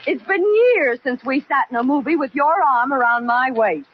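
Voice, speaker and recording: A woman's voice: high voice, normal speed, close to an everyday voice. A woman playing a wry, complaining middle-aged wife in a 1940s radio sitcom, with an arch comic manner. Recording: muffled, hissy 1940s radio recording.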